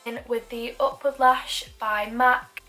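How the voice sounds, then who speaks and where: A woman's voice: on the high side, fairly even intonation, takes it slowly, sharp tone, soft background music, rough recording. A young woman with a Manchester accent, chatting through a makeup tutorial with self-deprecating asides. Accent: Mancunian. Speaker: beauty vlogger.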